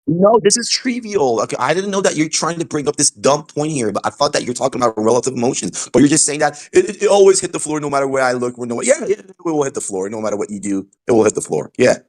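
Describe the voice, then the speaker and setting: Male debater, rapid delivery, presses his point over and over. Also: medium pitch, lively intonation, a little mellow. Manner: fast, insistent, repeats himself. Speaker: debate participant. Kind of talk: heated online debate.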